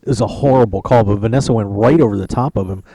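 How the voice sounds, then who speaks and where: A man hosts a poker radio show live from a tournament lounge. His voice is mellow and dark, and the sound is dry. He rambles and jokes with lots of restarts. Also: fairly low pitch, quick pace, no room sound. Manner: chatty, joking, rambling with restarts. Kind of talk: casual live-stream chat.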